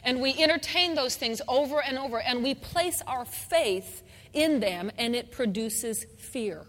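High-voiced woman preaching in a reverberant hall. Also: lively intonation, a little slow, a bit bright. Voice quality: singer. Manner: call-and-response, repeats phrases with rising fervor. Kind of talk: sermon.

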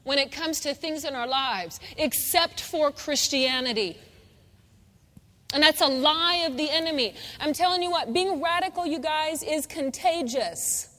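A woman's voice: high voice, unhurried, sharp tone. A woman preaching a sermon, calling out for amens and repeating phrases with rising fervor. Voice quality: singer. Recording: long hall reverb.

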